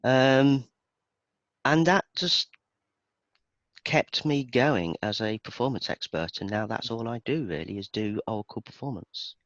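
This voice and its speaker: A man's voice: mid-range voice, lively intonation, measured pace, a bit bright, no room sound, highs cut off. A man from Birmingham, England with a Birmingham accent, chatting casually as an interview guest in a self-deprecating, talkative way. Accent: Birmingham English. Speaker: interview guest, database performance expert.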